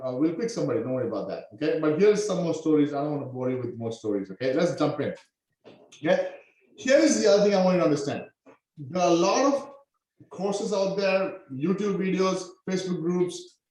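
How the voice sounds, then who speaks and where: A man from Sri Lanka teaches a live online class, full of energy and constantly checking in with "okay?". His voice sits a little high for a man. The sound bounces hard around a very echoey room. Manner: energetic, peppers talk with "okay?". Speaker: online business coach.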